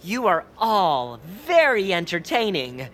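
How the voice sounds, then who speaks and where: A woman's voice: lowish voice, big pitch swings, slow, fairly bright tone, heavily acted. A woman voicing an earnest, hesitant young male bird who directs plays, in an audio drama. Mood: heartfelt.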